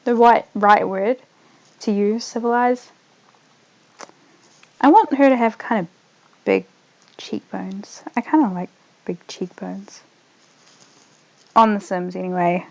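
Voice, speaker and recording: A young woman with a New Zealand accent tinged slightly Australian, chatting loosely as a streamer while she plays, trailing off quietly as she concentrates. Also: mid-range voice, fairly even intonation, takes it slowly, creaky, pauses often, muffled recording. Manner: rambling, trails off softly when focused on the game. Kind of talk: casual gaming livestream chat.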